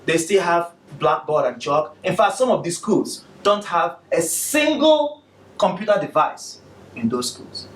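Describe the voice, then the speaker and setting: A man with a Nigerian accent giving a presentation to an audience in a measured, emphatic way. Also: sits high, very animated, roomy sound, recorded from a distance, slight background noise. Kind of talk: capstone presentation.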